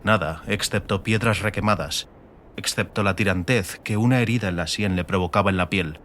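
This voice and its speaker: A man's voice: fairly low pitch, brisk, fairly bright tone, a little hiss or hum. A man reading a scripted, dramatic fantasy audiobook narration.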